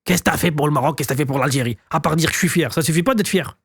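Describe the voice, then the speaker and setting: A man in his thirties from France, co-hosting a podcast in loud joking banter, provocative and teasing, swearing a lot. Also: a little high, very fast, husky, no room sound. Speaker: podcast co-host.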